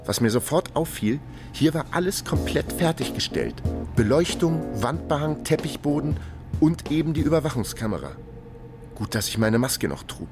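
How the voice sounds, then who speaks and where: A man's voice: fairly high pitch, sing-song, moderate pace, music in the background. An actor playing a wry investigator who tells his undercover caper dryly, in a crime radio drama. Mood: dry narrating. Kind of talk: first-person crime radio drama narration and dialogue.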